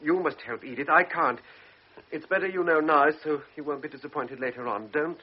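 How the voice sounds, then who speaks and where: Young army lieutenant, anxious, halting, husky, muffled radio sound. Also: male voice, a little high. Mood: desperate. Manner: agitated, halting, desperate. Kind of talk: radio drama acting. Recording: muffled old radio recording.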